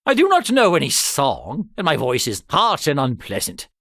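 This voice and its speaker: A man's voice: fairly high pitch, huge pitch swings, moderate pace, gravelly, fairly bright tone, no echo, studio quality, exaggerated voice. A man voicing a timid, humble medieval cowherd in a storybook, hesitant and plaintive. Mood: bewildered, pleading.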